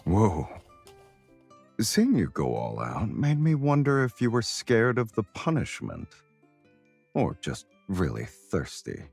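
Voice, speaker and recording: An actor playing a confident, teasing and tender male love interest in a romance game, with a husky voice. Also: on the low side, huge pitch swings, slow, a little mellow, faint music behind, full character voice. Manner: smooth-talking, playfully teasing.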